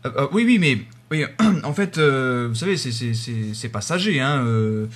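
Male comic sketch voice, mid pitch, hesitant and rambling, casual everyday delivery. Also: expressive, a little slow. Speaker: radio show host. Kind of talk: comedy sketch phone conversation.

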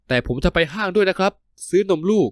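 A man's voice: high voice, lively intonation, fast talker, a little mellow, no room sound, clean studio sound, voice pushed up. A man voicing a small, polite young single father who speaks meekly and gets easily flustered.

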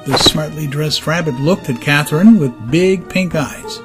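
A man's voice: a little high, measured pace, over loud music. A man narrating a dramatized audiobook story.

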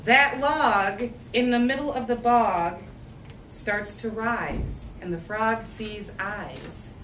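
A woman teacher reading a picture book aloud to young children, expressive and rhythmic, drawing out phrases and asking them questions. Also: a little high, slow. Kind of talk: interactive storybook read-aloud. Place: echoey room with a group of children. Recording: echoey room, distant mic, faint noise.

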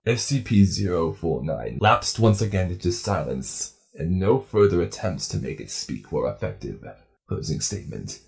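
A man's voice: sits low, very animated, moderate pace, vocal fry, a little mellow. A man narrating scripted clinical case files in a formal, clinical manner.

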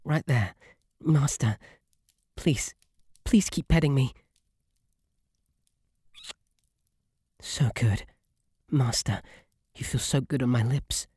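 A man with a British accent voicing a shy, devoted, submissive bunny butler in an intimate ASMR roleplay, soft, breathy, halting and deferential. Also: medium pitch, no echo, studio quality. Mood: pleading, yearning.

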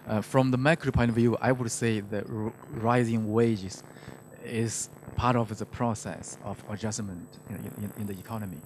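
A middle-aged man speaking as an economist on a panel, hesitant and halting, often repeating words into a handheld microphone. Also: fairly low pitch, fairly even intonation. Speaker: macroeconomist, conference panelist. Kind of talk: panel discussion, unscripted explanation. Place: conference stage, indoors. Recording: faintly noisy conference stage microphone.

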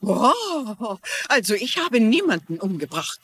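An actress playing a defensive, evasive middle-aged former secretary in a German-dubbed TV crime drama, guarded in manner and husky. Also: female voice, a little high, sing-song, measured pace, a bit bright, heavily acted.

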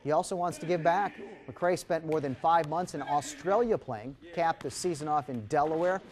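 A middle-aged man anchoring TV sports, reading highlights in a punchy, emphatic announcer style. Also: normal speed. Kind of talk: scripted sports highlights narration. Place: TV news studio.

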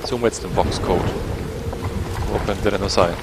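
German let's player with a cold. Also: male voice, low-pitched, fairly quick, heavy background noise. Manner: rambling, self-correcting. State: has a cold. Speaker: let's play host. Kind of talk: gameplay commentary. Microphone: headset.

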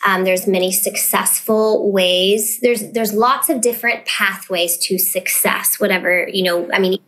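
A woman's voice: medium pitch, steady tone, a little slow, fairly bright tone, rough recording. Thoughtful woman guest. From United States. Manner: thoughtful, earnest, with fillers and restarts. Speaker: guest, literacy business founder. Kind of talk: casual podcast interview.

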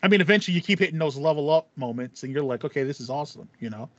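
A man in his thirties with a slightly high voice talks casually as a podcast host. He likes to act out thoughts as he goes. The sound is dry and a little muffled, as if on a headset in a small room. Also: expressive, very fast, no room sound. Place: small room at home with acoustic foam, indoors. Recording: slightly dull, band-limited audio.

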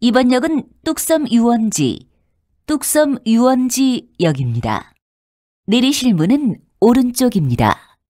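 Female transit announcer, fairly high and very lilting, formal and polite, recorded dry. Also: big pitch swings, a little slow, warm tone, dry recording. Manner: formal, polite, clearly enunciated. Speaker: subway announcer. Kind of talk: scripted public transit announcement.

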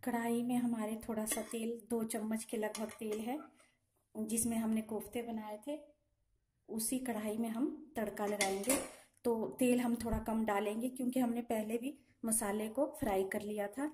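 A woman calmly talking through a home cooking recipe step by step, in a patient, instructive way. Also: fairly high pitch, moderate pace, slight background noise. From Himachal Pradesh, India. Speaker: home cooking channel host. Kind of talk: step-by-step cooking demonstration voice-over. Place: home kitchen.

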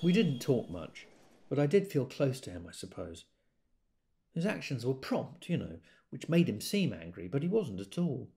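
An actor playing a wry British character in an audio drama, telling memories conversationally and quick to exasperation. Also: male voice, mid-range voice, big pitch swings, creaky, warm tone. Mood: reflective, understated. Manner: conversational, acts out quoted speech. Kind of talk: intimate audio drama monologue.

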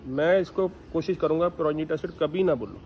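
A man's voice: fairly high pitch, fairly quick, darkish tone, a little hiss or hum. A man who owns bulls at a dairy farm, answering interview questions in a firm, emphatic, explanatory way. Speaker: bull owner and dairy farmer.

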